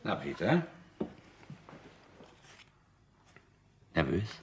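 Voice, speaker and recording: An actor playing a smug, watchful official in a radio drama, needling and falsely friendly, with a raspy, gravelly voice. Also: male voice, low voice, huge pitch swings, normal speed, noisy background. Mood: needling joviality.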